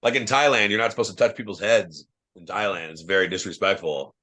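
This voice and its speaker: Loud, profane young man from Ohio. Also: deep voice, steady tone, brisk. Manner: loose, profane storytelling, lots of fillers; says he has a loud voice. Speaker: guest, professional wrestler. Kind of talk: casual podcast chat.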